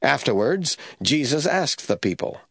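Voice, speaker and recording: A man narrating scripture from a script as the storyteller of an audio drama, with a husky voice. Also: mid-range voice, very animated, a little slow, dry recording, clean studio sound.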